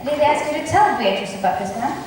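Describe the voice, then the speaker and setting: Woman acting young gentlewoman attendant, loyal, frank, slightly high voice, stage play, distant mic. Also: animated, fast talker. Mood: questioning. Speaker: student drama club performer. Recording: very echoey hall, distant mic, faint hiss.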